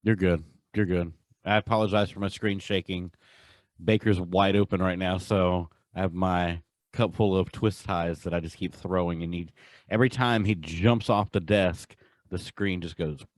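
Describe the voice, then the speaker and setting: A man's voice: sits low, fairly even intonation, moderate pace, no room sound. A man hosting a podcast, chatting casually about pop culture. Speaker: podcast host.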